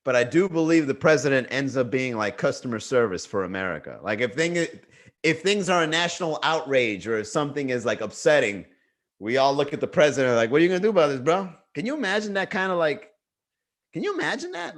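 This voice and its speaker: A man's voice: a little high, very animated, brisk. A Miami-accented man riffs on a casual comedy livestream from home. His talk is loose and slangy, full of bro and man, and he banters with chat over a webcam mic. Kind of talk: casual livestream stand-up riff.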